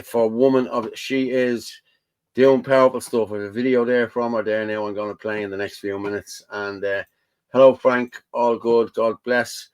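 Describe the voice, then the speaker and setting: A man's voice: lowish voice, clean tone, no room sound, poor audio. An Irish man co-hosting a casual livestream, rambling and repeating himself as he chats and reads out viewer comments. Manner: rambling, repeats "you know what I mean". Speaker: co-host.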